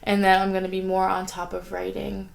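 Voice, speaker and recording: A woman's voice: mid-range voice, completely flat delivery, fairly quick, gravelly. Young woman rambling about her writing week, lots of "like", kinda sniffly and sore-throated. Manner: chatty, rambling, frequent "like" fillers. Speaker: writing vlogger. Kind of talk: casual vlog chat. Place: at home, indoors.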